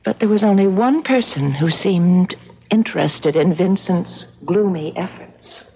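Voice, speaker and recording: An earnest, sympathetic woman who keeps a gallery and loves a troubled artist. She speaks in the manner of a vintage radio drama. The recording is dull and clearly noisy. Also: fairly low pitch, expressive, measured pace. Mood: sorrowful narrating.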